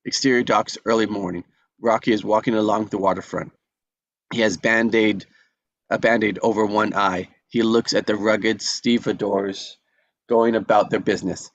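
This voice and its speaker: A man's voice: fairly low pitch, steady tone, normal speed, no room sound. Dull dry recording, guy reading script action lines as narrator. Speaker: narrator reading stage directions. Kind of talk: scripted narration at a table read.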